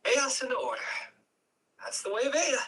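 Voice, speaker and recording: A man playing an easygoing, reassuring fantasy hero with a casual, gently teasing manner at a script table read. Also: very high-pitched, wildly swooping pitch, moderate pace, husky, exaggerated voice. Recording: distant microphone.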